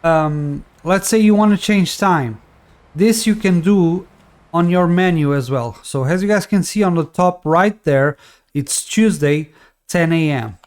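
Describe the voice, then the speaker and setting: A man's voice: a little high, measured pace. A man whose first language is Portuguese, casually talking through a game tutorial with many fillers and restarts. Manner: relaxed, rambling, frequent fillers and restarts. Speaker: tutorial host.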